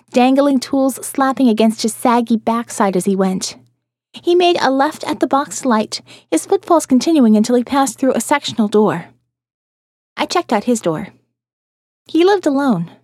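A woman's voice: fairly high pitch, a little mellow, no room sound, clean studio sound. A woman narrating first-person audio fiction in a wry, sardonic manner.

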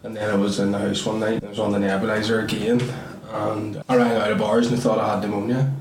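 A man's voice: lowish voice, little pitch movement, fast, recorded from a distance, crowd noise. A man, a podcast guest telling his story in an easygoing, laughing way. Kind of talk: casual chat. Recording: echoey room, off-mic.

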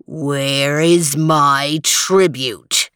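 A woman voicing a gruff male troll, a small hedgehog in disguise, with a deep growl in a storybook reading. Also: fairly even intonation, fairly bright tone, dry recording, studio quality, heavily acted. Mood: menacing. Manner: slow, menacing demands.

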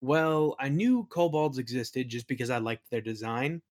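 Man, mid pitch, informal game master, anecdotal, relaxed interview chat. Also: normal speed. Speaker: podcast guest, game master and worldbuilder.

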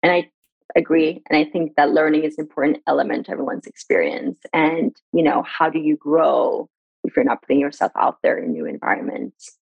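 A woman's voice: low voice, lively intonation, a little fast, gravelly, breathy edge, dry recording, lo-fi sound. A woman whose first language is Farsi, a guest on a podcast, speaking in an earnest, reflective way and often repeating her key points. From Sweden. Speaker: guest, brand director.

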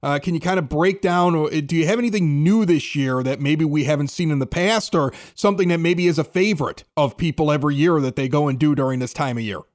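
A man's voice: a little high, fast talker, few pauses. A man hosting a radio show, interviewing guests in a casual, conversational style.

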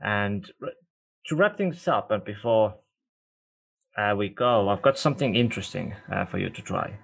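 A man whose first language is Estonian, hosting a podcast in casual interview chat, relaxed and laughing easily. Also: fairly low pitch, moderate pace, gravelly, breathy edge. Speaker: podcast host. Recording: dull lo-fi audio.

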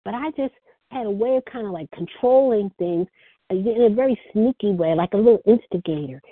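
Soft-spoken woman over a phone line. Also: medium pitch, lively intonation, brisk, husky, dry recording. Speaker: speaker sharing at a recovery phone meeting. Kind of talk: personal recovery share.

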